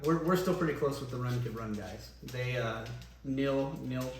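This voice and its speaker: A man's voice: medium pitch, normal speed. Guy rambling about old tours, lots of ums, sounds far off in a really echoey room. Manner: relaxed, rambling, many ums and restarts. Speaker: former band singer, interview guest. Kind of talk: casual reminiscing interview. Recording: echoey room, voice from a distance, faint noise.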